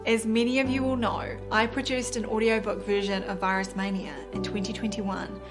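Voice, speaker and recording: A woman with a New Zealand accent reads her nonfiction book aloud at a steady, deliberate pace. Her pitch sits in a middle range, neither high nor low. Faint music plays underneath her voice. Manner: measured, deliberate reading. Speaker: doctor and author narrating her audiobook. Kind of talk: scripted audiobook narration.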